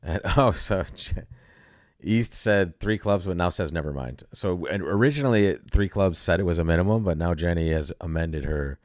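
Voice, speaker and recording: Brief: deep-voiced male host, teasing and chatty, close dry sound. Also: normal speed, darkish tone, no room sound. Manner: joking, chatty, self-deprecating. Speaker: bridge commentary host. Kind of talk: casual live commentary.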